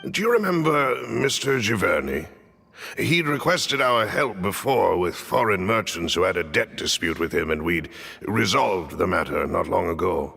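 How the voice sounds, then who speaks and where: A man playing a gruff, dutiful retainer with a gravelly voice in a video game, heard with long reverb. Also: low-pitched, animated, moderate pace, sharp tone, exaggerated voice. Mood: attentive. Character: gruff, dutiful male retainer to a young lady. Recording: long hall reverb, faint ambient noise.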